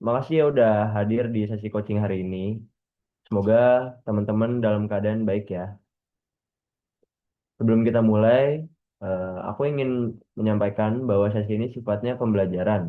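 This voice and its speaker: A man in his late twenties guides a practice coaching session in a gentle, informal way. He hesitates often and fills pauses with small sounds. His fairly low voice comes through a thin, tinny call connection. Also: steady tone. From Bekasi, Indonesia. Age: 20s. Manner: gentle, informal, frequent fillers. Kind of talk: role-play coaching session.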